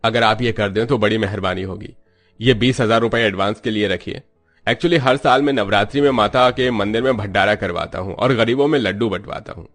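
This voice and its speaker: A man's voice: fairly low pitch, a little fast, fairly bright tone, faint music behind. A man playing a wealthy, charitable businessman in a cartoon moral story, speaking earnestly and formally. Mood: grateful.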